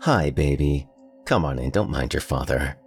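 A man voicing a calm, gently wry mother who speaks slowly and evenly. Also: a bass voice, breathy edge, faint music behind, full character voice. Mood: calm, dry.

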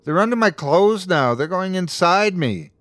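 A man's voice: on the high side, very animated, moderate pace, no echo, voice pushed up. A man voicing a weak, frightened young man who pleads in an exhausted, wavering voice in an audiobook story. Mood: weak, suffering. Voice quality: faint, wavering, sob-like.

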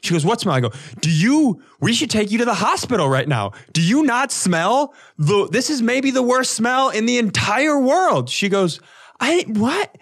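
A man co-hosting a comedy podcast, telling animated, casual stories and acting out the dialogue. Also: extremely high pitch, normal speed.

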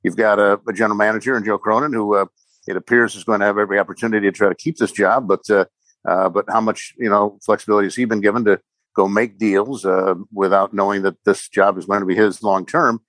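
A man giving casual sports podcast analysis as a guest commentator, halting and hedging with many ums and repeated words. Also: on the low side, flat delivery, fairly bright tone, no room sound. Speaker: sports commentator guest, former radio play-by-play announcer.

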